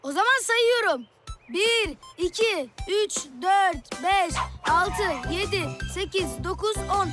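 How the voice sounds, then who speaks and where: A woman voicing a playful, cheeky, excitable little child creature in a children's cartoon, expressive and full of exclamations. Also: extremely high pitch, slow pace, exaggerated voice. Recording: music under the voice.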